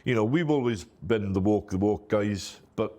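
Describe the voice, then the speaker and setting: Chatty middle-aged Welshman, low voice. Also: normal speed, dark tone. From Wales. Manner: chatty, self-deprecating, full of "you know" and restarts. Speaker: MSP business owner, guest. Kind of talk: casual interview talk.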